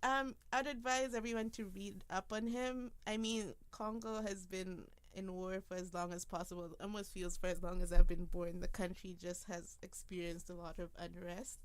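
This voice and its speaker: A woman's voice: lowish voice, little pitch movement, moderate pace, few pauses, clear, resonant tone, no echo. A young woman from Africa hosting a casual podcast conversation, explaining her views at length in a thoughtful way. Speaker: podcast host.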